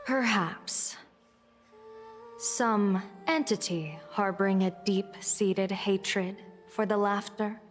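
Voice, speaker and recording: A woman as a slow, shrewd strategist. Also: medium pitch, lightly acted. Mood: calm, coaxing. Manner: measured, probing, philosophical. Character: composed, shrewd woman strategist in a fantasy game. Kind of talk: video game story voice acting. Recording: long reverb, faint music behind.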